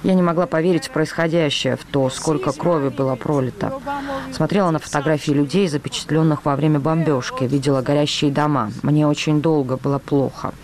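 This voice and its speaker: A man calmly reading a translated news voice-over. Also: fairly high pitch, brisk, crowd noise.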